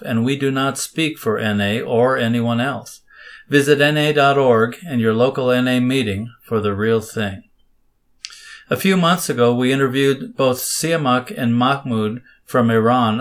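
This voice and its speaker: A man hosts a podcast and reads his introduction from a script. His delivery is steady, at a middle pitch and an even pace. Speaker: podcast host.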